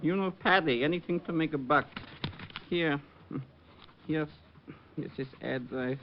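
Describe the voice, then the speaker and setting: A man's voice: on the high side, unhurried. An actor playing an obliging, chatty male tobacco shop clerk in an old radio drama, casual, folksy and slangy. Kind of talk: 1940s-50s radio drama acting. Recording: dull, hissy old radio recording.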